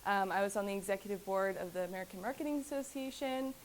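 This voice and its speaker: A woman's voice: medium pitch, fast, slight background noise, poor audio. A young woman, a graduating student, chatting in a lightly self-deprecating way. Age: young adult. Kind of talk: casual personal reminiscence.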